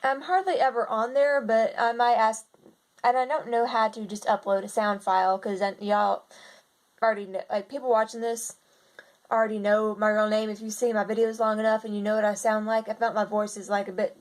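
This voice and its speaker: A young woman with a slightly raspy voice, chatting in a casual video diary and rambling with lots of fillers and restarts. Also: fairly high pitch, level tone, brisk. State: slightly raspy from seasonal congestion. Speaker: vlogger.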